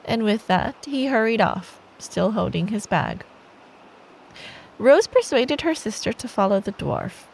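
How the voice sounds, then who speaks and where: Woman, ASMR bedtime storyteller, unhurried delivery, soothing, playful, affectionate girlfriend role, soft rain noise behind. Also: mid-range voice, big pitch swings, vocal fry, low-quality recording. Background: rain ambience.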